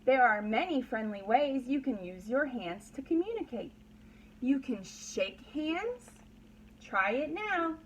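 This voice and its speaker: A woman with a fairly high voice teaches a read-aloud lesson to very young children. She speaks slowly, repeats simple phrases and checks in with short questions. There is clear background noise on the recording. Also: sing-song, poor audio. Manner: instructional, simple phrases with repetition and prompting questions. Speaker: pre-kindergarten teacher.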